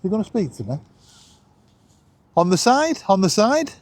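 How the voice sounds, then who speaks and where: A middle-aged man with a husky voice, chatting casually and excitably as he hosts a vlog. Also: high voice, moderate pace. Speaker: vlog host. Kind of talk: casual chat to camera while testing a radio.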